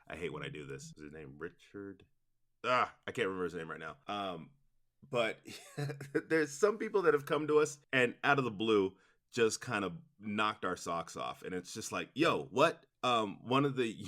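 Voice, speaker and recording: Guy with a lowish voice rambling casually in an interview, slangy and loose. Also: fairly bright tone. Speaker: interview guest, audio drama producer.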